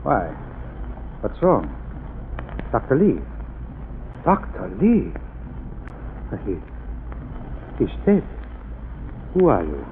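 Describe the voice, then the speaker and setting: A man playing a nervous, suspicious laboratory chief in a 1930s radio drama, quick to accuse. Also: mid-range voice, huge pitch swings, exaggerated voice. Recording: tinny old radio broadcast with faint hiss.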